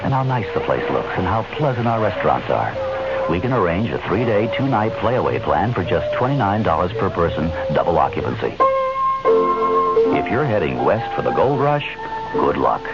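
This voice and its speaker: A male announcer reading a radio commercial in a smooth, measured sales delivery. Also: deep voice, sing-song. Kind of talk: scripted commercial read. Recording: dull 1970s radio ad over loud music.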